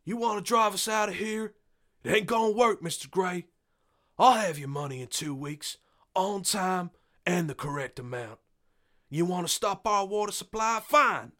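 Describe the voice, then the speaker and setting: A man's voice: high voice, voice pushed up. A man voicing a furious, desperate male sharecropper who defies his landlord in a Western story. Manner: defiant, shouting threats.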